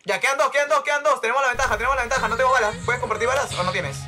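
A young man on a headset, excitedly calling out plays while gaming with a teammate, repeating words rapidly. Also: very high voice, over loud music. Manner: rapid repeated callouts, cheering. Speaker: gaming streamer. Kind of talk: excited co-op gameplay commentary. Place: indoors at a computer.

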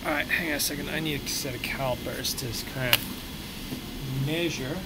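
A young man talks his way through his work on a live stream, his speech loose and full of fillers as he thinks aloud. His voice sits at an ordinary middle pitch. A clear hum of air conditioning runs under him in a small home room. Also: a little fast. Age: young adult. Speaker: streamer modeling a prop. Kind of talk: casual live-stream commentary while working.

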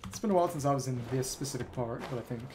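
A woman's voice: extremely low pitch, racing, mellow, slight background noise. A woman streamer giving live gameplay commentary, musing aloud and reading out game dialogue as she plays. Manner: musing aloud, switching between reading and reacting. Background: game music.

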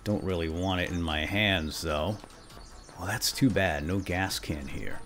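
A man hosting gameplay with excitable role-play commentary, playful and given to exclamations and quick bursts of repeated words. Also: low-pitched. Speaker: gaming video host.

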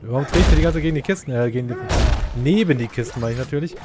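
A man commentates on a video game in a mid-pitched voice. He is casual and sarcastic, often grumbling to himself, with noticeable game rumble behind him. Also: rapid-fire. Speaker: let's play host. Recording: clear game noise behind the voice.

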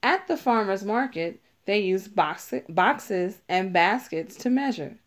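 A woman reading a children's picture book aloud, deliberately, stressing key words as she teaches. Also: mid-range voice, expressive. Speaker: read-aloud narrator.

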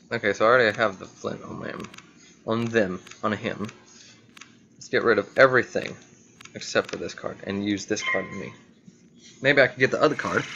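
A man's voice: lowish voice, gravelly, pushed and bright, a little hiss or hum, low-quality recording. A man giving casual, playful gameplay commentary, thinking aloud in short bursts and exclamations. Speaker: gamer recording gameplay.

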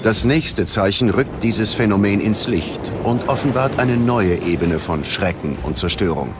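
A man's voice: fairly low pitch, expressive, unhurried. A man narrating a scripted documentary in a grave, dramatic manner. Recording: music bed behind the voice. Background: background music.

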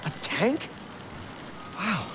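An actor playing an earnest, caring young man catching up with an old friend in a video game scene. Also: extremely slow. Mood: concerned. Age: young adult. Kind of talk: video game cutscene acting. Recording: game audio with clear background noise.

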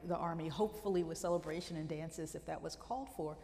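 A middle-aged woman giving a conference lecture on scripture, animated and emphatic as she builds lists. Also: sits low, quick pace. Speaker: biblical scholar and lecturer. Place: church hall stage, large room. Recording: long hall reverb, faint room noise.

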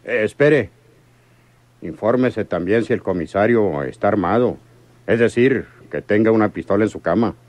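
Actor playing a curt, domineering crime boss. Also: male voice, lowish voice, moderate pace, a little mellow, close to an everyday voice. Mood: stern, probing. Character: ruthless, commanding male crime boss. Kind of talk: radionovela acting.

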